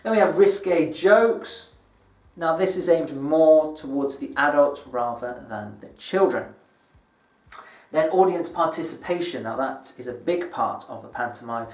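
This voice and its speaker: A British man presenting an educational lesson in a deliberate, explanatory manner, like a teacher addressing language learners. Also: medium pitch. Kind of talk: scripted educational presentation to camera. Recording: echoey room, distant microphone.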